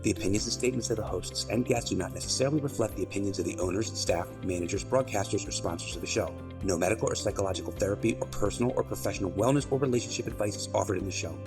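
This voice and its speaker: A man's voice: on the low side, very fast, hardly any pauses. A man announcing, reading a scripted disclaimer in a formal, unbroken delivery. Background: music.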